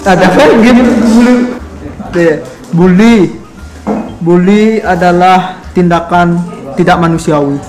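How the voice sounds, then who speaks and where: A man's voice: sits high, slow pace, pauses often, a little mellow, echoey room, faint music behind. A man in his twenties giving playful, joking answers in a casual interview. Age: 20s.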